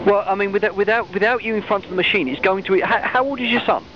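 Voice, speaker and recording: A man working as a customer support agent on a helpline call, stammering and repeating himself as he earnestly reassures the caller. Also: fast. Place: open-plan office, on the phone. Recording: muffled, noisy low-quality phone recording.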